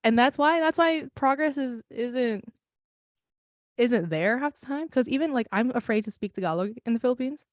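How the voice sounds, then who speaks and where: A woman's voice: a little high, lively intonation, moderate pace, no echo, clean studio sound. A young woman co-hosting a podcast in casual chat, rambling with lots of fillers, laughing often and swearing. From United States. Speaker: podcast co-host.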